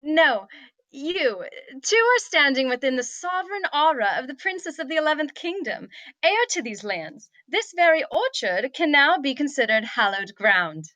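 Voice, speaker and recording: A woman's voice: high voice, sing-song, normal speed, sharp tone, no room sound, full character voice. A woman at a table read playing an imperious young princess with a haughty, declamatory delivery. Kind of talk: table read of a comedy fantasy script.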